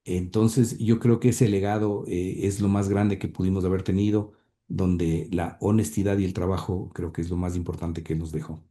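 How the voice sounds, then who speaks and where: A man with a lowish, very dark and mellow voice, talking in an interview. He speaks earnestly and haltingly, full of fillers and restarts, and at times reads quotes aloud. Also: level tone. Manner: halting, many fillers and repeated words; earnest, heartfelt. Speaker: interview guest, grandson and author.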